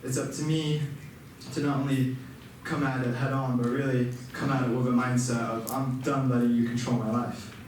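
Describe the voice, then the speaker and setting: A man's voice: medium pitch, level tone, normal speed, darkish tone, a little hiss or hum. Young guy giving a talk, stutters a bit, sounds far off in a big echoey room. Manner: stutters with repeated sounds and restarts, earnest. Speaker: student speaker. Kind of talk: personal speech to an audience. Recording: echoey hall, mic far off.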